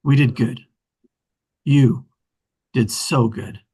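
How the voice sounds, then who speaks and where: A husky, earnest family man. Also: medium pitch, slow, mellow. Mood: tender, reassuring. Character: earnest, sentimental grown brother, husband and father. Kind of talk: naturalistic drama table read.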